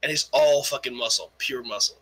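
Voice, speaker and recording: A man's voice: medium pitch, fairly quick, a bit bright, breathy edge. A man in his forties in a crude, joking online voice-chat argument, stammering and swearing a lot. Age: 40s. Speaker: debate stream participant.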